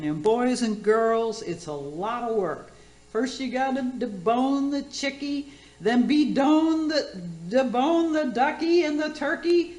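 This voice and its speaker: A tipsy, bawdy auntie hosts a comedy radio show in a roomy, echoing space. Her highish voice swoops up and down. She gushes and rambles, tripping over her words with brash confidence. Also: darkish tone. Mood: rambling, exasperated. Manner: rambling, gushing, boozy word slips. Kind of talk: community theater radio comedy acting.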